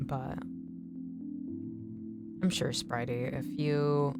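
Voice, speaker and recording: A young woman streamer with a slightly raspy, nerdy voice, chatting casually and encouragingly to her viewers in a rambling way. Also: very deep voice, flat delivery, extremely slow. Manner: rambling, encouraging, lots of "like" and restarts. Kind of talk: casual chat to stream viewers while drawing. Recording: music playing in the background. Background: music playing.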